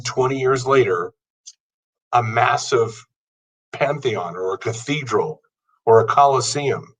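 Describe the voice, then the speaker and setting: A man's voice: mid-range voice, fairly bright tone, recorded from a distance, poor audio. A man in his fifties giving an earnest motivational talk, building his points through long lists. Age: 50s. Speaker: podcast host. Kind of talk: motivational monologue.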